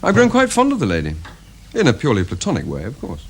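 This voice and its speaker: An actor playing a breezy upper-class English officer, speaking in a jaunty, teasing way. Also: male voice, mid-range voice, wildly swooping pitch, fast, background noise.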